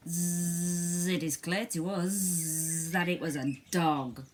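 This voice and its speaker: Buzzing storybook flies played by a woman speak in a knowing, certain way. The voice is slightly low, with faint noise on the recording. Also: steady tone, slow pace. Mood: calm, knowing.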